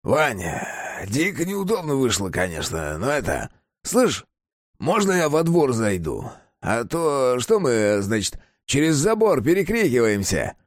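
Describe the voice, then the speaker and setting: A man's voice: mid-range voice, huge pitch swings, unhurried, no echo, clean studio sound, pitched above the performer's own voice. A man voicing a sly, corrupt village policeman who wheedles and then hisses threats. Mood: wheedling. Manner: wheedling, then hissing and menacing, filler-heavy patter. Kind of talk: audiobook character voice.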